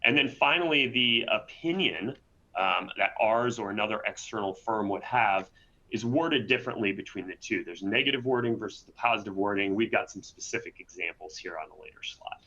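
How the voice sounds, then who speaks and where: A man with a fairly low but bright, forceful voice hosts a webinar. He talks in a conversational way and often tags on "right?". The audio is dull and lo-fi. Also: brisk. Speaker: webinar host and presenter. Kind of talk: webinar presentation.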